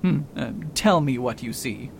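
An actor playing a proud, impatient doctor and criminologist in an amateur radio drama, brisk and skeptical. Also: male voice, mid-range voice, expressive, gravelly, slight background noise.

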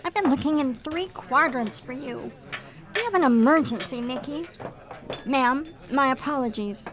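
High-pitched young female investigator, acted by a woman, perky, emphatic, eager, audio drama, faint noise. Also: normal speed, natural voice. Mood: urgent.

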